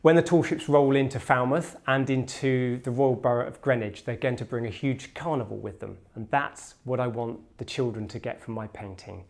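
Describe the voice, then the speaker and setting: A man's voice: mid-range voice, moderate pace, creaky, hardly any pauses. A man speaking as an artist in a promotional interview, explaining things to the audience. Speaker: artist, painter.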